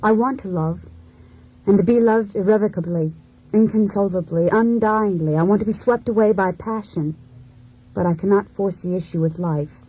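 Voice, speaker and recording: An actress playing a disillusioned wife in a poetic radio drama, speaking in a measured, introspective, theatrical manner. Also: female voice, medium pitch, mellow, close to an everyday voice, low-quality recording. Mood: yearning, resolute. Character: disillusioned, cool, self-searching wife in a failed marriage. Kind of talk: poetic monologue in a radio play. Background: music.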